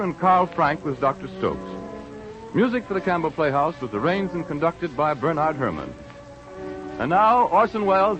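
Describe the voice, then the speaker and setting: An American man announcing on 1930s radio, reading scripted copy in a polished, emphatic salesman's manner. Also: on the high side. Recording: dull vintage radio broadcast, music behind.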